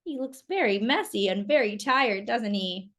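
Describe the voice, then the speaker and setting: A woman reading a picture book aloud to young children in an expressive, exclamatory way, with chatty asides and questions. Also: mid-range voice, normal speed. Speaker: children's storytime reader.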